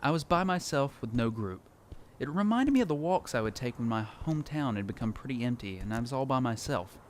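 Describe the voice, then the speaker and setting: A man's voice: mid-range voice, animated, a little fast, slight background noise, close to an everyday voice. A man playing a lonely, reflective survivor who narrates his post-apocalyptic journey in a scripted audio drama. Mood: wistful.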